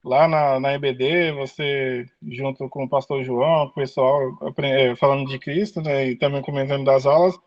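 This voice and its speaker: A man's voice: medium pitch, steady tone, normal speed, few pauses, dry recording. A man with a Brazilian accent co-hosting a podcast remotely, chatting casually as he asks questions. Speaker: podcast co-host. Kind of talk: casual interview chat. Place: joining remotely by online call. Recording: slightly dull remote call audio.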